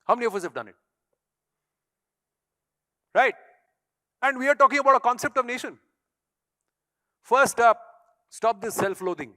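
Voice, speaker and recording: A man's voice: extremely high pitch, moderate pace, long pauses, sharp tone. A man with an Indian accent, a native Tamil speaker, giving an emphatic motivational stage speech full of rhetorical questions to an audience. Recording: echoey hall.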